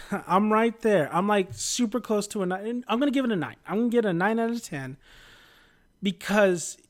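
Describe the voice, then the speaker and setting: A man's voice: high-pitched, animated, moderate pace. A man casually reviewing a TV episode, rambling with many fillers, often losing his train of thought, upbeat and chatty.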